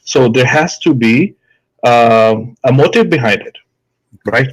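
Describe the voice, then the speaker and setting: A man with an Indian accent takes part in a live debate as a doctor. He talks at a middle pitch, hesitant and full of fillers and restarts. He builds his case through examples. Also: measured pace, low-quality recording.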